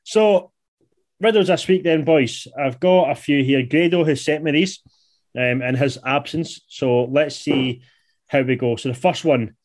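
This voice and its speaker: A man's voice: fairly high pitch, a little slow, a little mellow. Scottish man in his late twenties, chatting casually. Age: late 20s. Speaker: podcast producer and quiz host. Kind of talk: casual football podcast banter.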